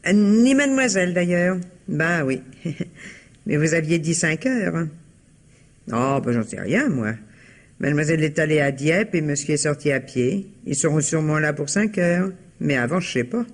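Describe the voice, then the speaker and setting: An actress playing a blunt, wary housekeeper in a radio mystery, plain-spoken and offhand. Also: female voice, low voice, lively intonation, normal speed. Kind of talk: old French radio mystery acting.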